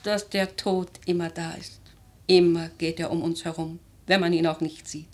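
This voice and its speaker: A woman playing an aristocratic old grandmother in a German radio drama, speaking in a theatrical, measured, lyrical way, worldly and imperious. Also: mid-range voice, close to an everyday voice. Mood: solemn, fervent. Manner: theatrical, measured, lyrical, with emphatic swells and hushed phrases.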